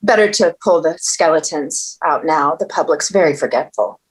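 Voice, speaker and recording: An actress playing a no-nonsense police detective with a wry, matter-of-fact manner in a scripted table read. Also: female voice, low voice, animated, moderate pace, slightly rough, poor audio. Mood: wry, coaxing. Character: no-nonsense, dryly coaxing female police detective.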